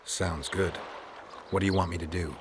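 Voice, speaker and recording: Man playing a deep, deadpan monster hunter. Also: normal speed, slight background noise, close to an everyday voice. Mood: businesslike. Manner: terse, dry, deadpan. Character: gruff, guarded male monster hunter for hire. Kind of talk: video game voice acting. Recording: game music behind.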